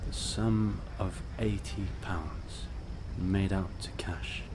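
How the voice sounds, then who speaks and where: An actor playing an upright, suspicious Victorian gentleman in a stage drama, formal and firm in manner. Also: deep voice, fairly even intonation, slow, very noisy. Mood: curious. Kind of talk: theatrical stage drama acting.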